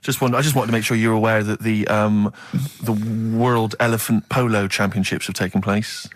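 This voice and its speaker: A man's voice: on the low side, fairly even intonation, normal speed, no echo. A man co-hosting a comedy radio show, chatting casually with mock-earnest questions. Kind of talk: casual comic chat. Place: radio studio.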